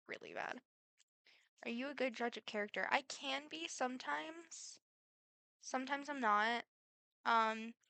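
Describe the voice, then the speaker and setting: A woman's voice: fairly high pitch, gravelly, sharp tone, no room sound. A teenage girl with a Midwestern accent, answering get-to-know-me questions in a chatty, rambling way full of fillers. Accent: Midwestern American. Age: teens. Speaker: vlogger.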